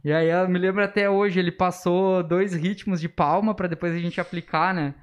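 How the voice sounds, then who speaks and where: A man's voice: high-pitched, steady tone, moderate pace, clean tone, muffled recording. Young southern Brazilian man, casual storyteller. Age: young adult. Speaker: podcast guest, musician and music teacher. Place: small dark podcast studio. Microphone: close studio microphone on arm, speaking through face mask.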